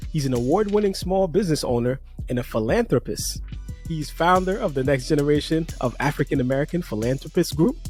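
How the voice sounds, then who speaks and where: A man hosting a podcast, introducing and interviewing a guest in a relaxed, appreciative way with casual fillers. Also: fairly high pitch. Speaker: podcast host.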